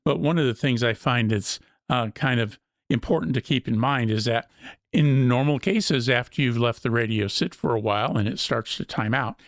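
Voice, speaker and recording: A man's voice: mid-range voice, no room sound, studio quality. A man presenting an unscripted product walkthrough as a review host, explaining things methodically step by step.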